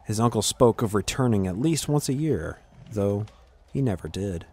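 A man narrating scripted fantasy fiction in a measured, dramatic storytelling manner with deliberate pauses. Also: lowish voice, lively intonation, darkish tone, breathy edge.

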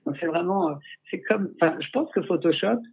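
A man's voice: sits high, lively intonation, brisk. A male photographer chatting on a livestream, answering viewer questions with many hesitations and restarts, speaking through a headset. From France. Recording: husky, tinny call audio.

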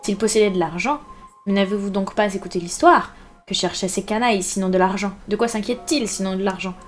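A woman's voice: mid-range voice, moderate pace, soft background music, lightly acted. Woman acting out a proud male squire, blustering, exclamatory, grandiloquent, indignant, storybook character. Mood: heated, exclamatory. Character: haughty, blustering, excitable country squire, a tall man.

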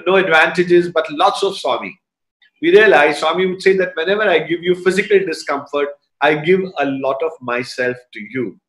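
A man in his fifties from North India with an Indian English accent, telling a devotional story in an animated way and acting out the dialogue, on a webcam video call from a room at home. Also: on the high side. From Indore, North India. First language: Hindi. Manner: animated storyteller, quick bursts, acts out dialogue. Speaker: alumnus speaker at a devotional gathering. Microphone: laptop webcam on a video call. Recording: roomy echoey home room.

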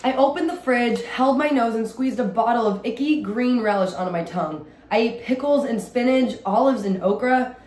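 A woman's voice: on the high side, moderate pace, a little mellow. A young adult woman reading a children's story aloud expressively, recorded indoors at home a little way from the microphone. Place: small indoor room at home. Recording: roomy echo, light background noise.

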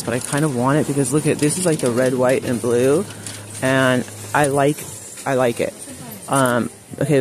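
A woman's voice: extremely low pitch, a little mellow. Chatty woman vlogging in a store. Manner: chatty, reads out prices, addresses viewers as you guys. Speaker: shopping vlogger. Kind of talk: casual shop-with-me narration. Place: large retail store, indoors. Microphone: phone held while filming, speaker off camera. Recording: phone audio with clear store noise.

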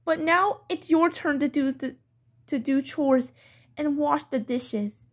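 A woman's voice: sits high, slow pace, pitched below the performer's own voice. A woman playing a strict mother who lays down rules in a firm, scolding way, in a homemade pretend-play skit.

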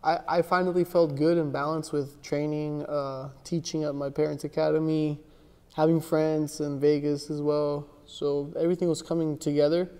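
A young man born in Miami and raised in Ecuador and Texas, answering interview questions in a soft, relaxed way, with lots of restarts and "like". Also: on the high side, moderate pace, clear, resonant tone. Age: young adult. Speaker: interview guest, jiu-jitsu athlete. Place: gym with large windows, indoors. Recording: long reverb from a large room.

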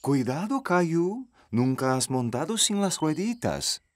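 Patient cartoon dad, very lively intonation. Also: male voice, on the high side, a little slow. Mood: concerned. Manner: gentle, encouraging. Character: patient, encouraging father of a small boy. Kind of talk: dubbed children's cartoon acting.

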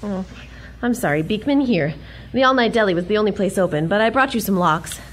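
A woman's voice: mid-range voice, expressive, brisk, noisy background, lightly acted. A woman playing a plucky, earnest young American heroine in a pulp adventure audio drama, spirited and wry. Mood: worried, tender.

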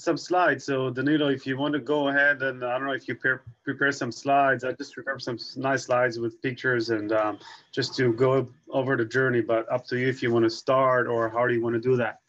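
Man in his thirties from Israel, webinar presenter, halting delivery, muffled call audio. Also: mid-range voice, level tone, fairly bright tone. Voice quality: halting, many restarts and fillers. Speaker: neurosurgery fellow presenting. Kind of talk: webinar talk with slides. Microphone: video call. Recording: slightly dull video call audio.

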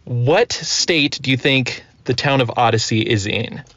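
A man's voice: medium pitch, a little slow, fairly bright tone. A man chatting casually as a podcast host. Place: inside a car. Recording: dull lo-fi, dry.

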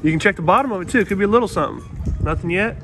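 A man's voice: high-pitched, expressive, racing, fairly bright tone, soft background music. A father filming on his phone at an outdoor lake dock, patiently coaching and encouraging as he gives instructions. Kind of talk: casual instructions while filming. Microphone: phone held while filming. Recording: phone audio with clear wind noise outdoors.

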